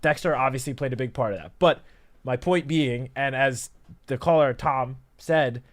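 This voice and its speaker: A man's voice: medium pitch, moderate pace. A man co-hosting a sports radio show, laying out statistics and building a detailed argument. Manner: analytical, stat-driven, builds an argument. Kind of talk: sports analysis talk.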